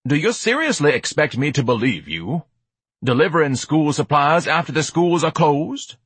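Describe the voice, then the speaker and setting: A man's voice: medium pitch, very animated, normal speed, fairly bright tone, breathy edge, no echo, pitched above the performer's own voice. A man voicing a pompous, indignant, gluttonous educated man with grandiose, haughty diction in an audiobook. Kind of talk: audiobook character acting.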